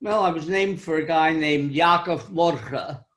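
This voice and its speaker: An older man with a high-pitched voice tells a wry, self-deprecating story about his own life in a club speech over a video call. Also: a little slow, a bit bright. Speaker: club speaker.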